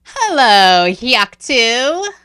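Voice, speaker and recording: A woman voicing a playful, mocking cat spirit who teases and chuckles in a high, bright voice. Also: very animated, drawn-out words, clean tone, no room sound, clean studio sound, full character voice. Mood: teasing. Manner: teasing, chuckling.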